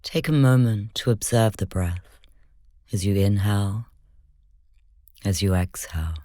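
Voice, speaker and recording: A meditation guide leading a guided session in slow, measured, gentle instruction, soft-spoken with whispery moments. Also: deep voice, dry recording, clean studio sound.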